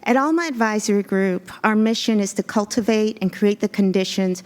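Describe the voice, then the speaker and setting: A woman's voice: mid-range voice, darkish tone. Earnest woman presenting to a board. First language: Spanish. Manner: measured, earnest, grateful. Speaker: executive search consultant presenting to a school board. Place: board meeting room.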